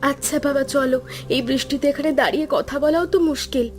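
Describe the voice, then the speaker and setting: A woman's voice: sits high, fairly quick, soft background music, close to an everyday voice. A woman voicing a worried young married daughter in a cartoon story, speaking in an earnest, pleading way. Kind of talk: cartoon voice acting.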